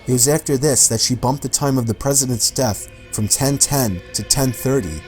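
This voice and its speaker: A man's voice: mid-range voice, normal speed, a little mellow, soft background music. A man delivering scripted documentary-style narration about real mysteries. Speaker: video narrator.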